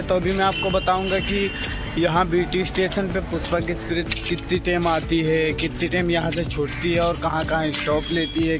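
Chatty young man vlogging on city streets. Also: fairly high pitch. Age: young adult. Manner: chatty, addresses viewers as bhai and yaar. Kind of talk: casual vlog narration. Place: outdoors on city streets and at a railway station at dawn. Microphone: phone held at arm's length.

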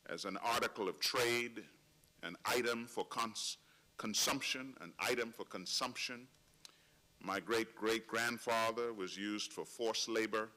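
Middle-aged guy reading a serious speech at a panel, slow deliberate pauses, sharp bright tone, desk mic. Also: lowish voice. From Trinidad. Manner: measured, solemn oratory with slow emphatic pauses. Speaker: conference speaker. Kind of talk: prepared speech. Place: large conference hall, seated at a panel table. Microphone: table microphone.

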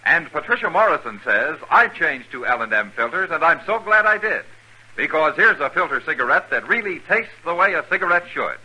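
Fifties radio commercial man, highish lively sharp voice. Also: fairly quick, slightly rough, poor audio. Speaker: commercial announcer. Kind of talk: 1950s radio advertisement read.

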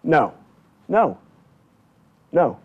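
Guy pastor giving a sermon, kinda higher voice, deliberate phrasing, bit of a dull lo-fi church recording. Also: very animated, slightly rough. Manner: emphatic, deliberate short phrases with repetition. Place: indoors in a church at a lectern.

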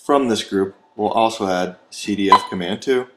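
A man's voice: fairly low pitch, moderate pace, heavy background noise. An American man narrating a scripted training video as an instructor, in a methodical, step-by-step manner.

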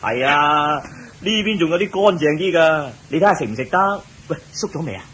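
Cheerful young kitchen hand, Hong Kong Cantonese; forceful bright tone, drawn-out words, faintly noisy recording. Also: male voice, fairly high pitch. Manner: animated, colloquial, drawn-out words. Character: cheerful, chatty young palace kitchen servant. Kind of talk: Cantonese radio drama acting. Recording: noisy old radio drama recording.

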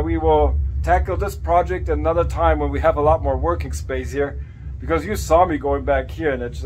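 Farm vlogger, male, thirties, hoarse from coughing, casual and chatty, phone mic in a workshop. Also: mid-range voice, slight background noise, poor audio. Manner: chatty, explaining as he points around. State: raspy from coughing. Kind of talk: casual vlog to camera. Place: cluttered indoor workshop. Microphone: phone camera at arm's length.

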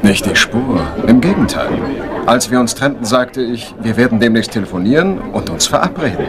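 An actor in a German crime radio play playing a shifty, affable military major who answers breezily and evasively, easily turning defensive. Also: male voice, medium pitch, expressive, normal speed, close to an everyday voice. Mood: guarded, casual. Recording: loud music and crowd chatter behind.

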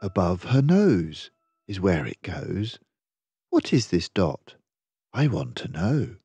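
Slow-paced older man reading to children. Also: deep voice, big pitch swings, dark tone. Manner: measured, deliberate, rhyming delivery. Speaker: storyteller reading a children's picture book. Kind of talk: expressive scripted read-aloud for children.

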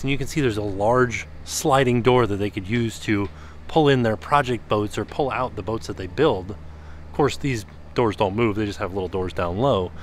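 A man's voice: fairly low pitch, a little hiss or hum. A man giving a casual, curious walking-tour commentary while filming on a handheld camera. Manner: chatty, curious, thinking aloud. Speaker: vlogger touring a resort. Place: indoor restaurant hall and outdoor resort grounds.

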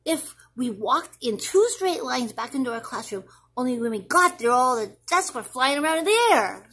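A woman's voice: high-pitched, animated, normal speed, a bit bright, slightly rough, exaggerated voice. A woman voicing an anxious, imaginative little schoolboy who rambles through what-if questions in a children's storybook. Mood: eager, wondering. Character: anxious, imaginative little school-age boy pestering his mother. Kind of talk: expressive children's storybook reading.

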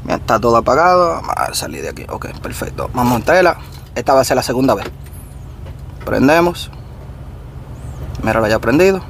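A man's voice: medium pitch, big pitch swings, a little fast, gravelly, long pauses, fairly bright tone, slight background noise. A man in his thirties, a trucker vlogger, explaining step by step straight to his viewers from the cab of his parked truck. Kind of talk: casual how-to vlog. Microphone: camera on the dashboard facing him.

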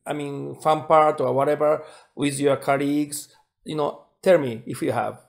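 Male interviewer, native Japanese speaker with Japanese accent, somewhat high-pitched, casual and searching for words. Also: fairly even intonation, moderate pace, creaky.

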